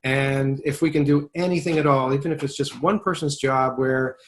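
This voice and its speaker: A man's voice: medium pitch, steady tone, moderate pace. A man working as a voiceover agent, talking in a casual webinar conversation, thoughtful and rambling, often restarting his sentences.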